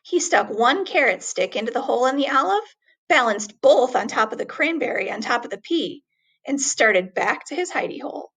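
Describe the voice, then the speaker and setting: A woman reading a children's story aloud expressively, with deliberate, dramatic emphasis on words. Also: fairly high pitch, animated, fairly bright tone, husky.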